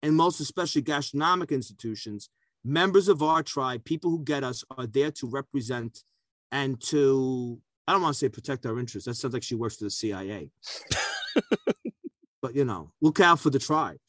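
A man's voice: medium pitch, normal speed, fairly bright tone, dry recording. A talkative man co-hosting a food podcast, telling stories and jokes in a casual chat. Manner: talkative, joking storyteller. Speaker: podcast co-host.

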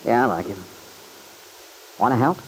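A man's voice: medium pitch, expressive, normal speed, natural voice. An actor playing an easygoing, modest young country man with an American accent, folksy and a little bashful, in old-time radio drama. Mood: amiable. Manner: folksy, slightly bashful, hesitant. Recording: noisy vintage radio recording.

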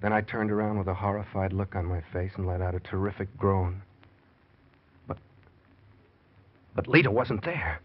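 An actor playing a scheming, nervy American husband in a classic radio crime drama, with an intense theatrical delivery. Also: male voice, low voice, normal speed, pauses often. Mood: tense, frantic. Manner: intense, theatrical confessional narration. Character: scheming, nervy murderous husband confessing his plot. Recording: muffled, noisy old radio recording.

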